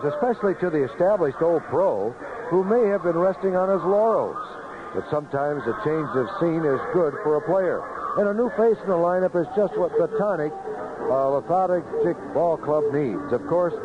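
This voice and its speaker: Guy reading a beer ad on old 70s radio, kinda high voice, thin tinny sound. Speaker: radio ad announcer. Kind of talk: scripted beer commercial read. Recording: noisy, narrow-band vintage 1970s radio.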